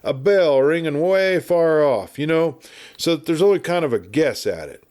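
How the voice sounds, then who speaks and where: A man's voice: a little high, lively intonation, normal speed, darkish tone, voice pushed up, lightly acted. A man voicing a garrulous, good-natured but irascible frontier marshal in a storybook, with an American Western drawl and folksy, blustery chatter. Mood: gossipy, animated. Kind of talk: storybook character voice.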